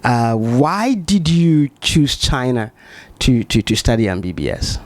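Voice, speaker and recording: A man's voice: medium pitch, very animated, a little slow, slight background noise. A man hosting a casual interview in a dormitory room, chatty and addressing his guests informally. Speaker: interviewer, host. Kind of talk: casual on-camera student interview.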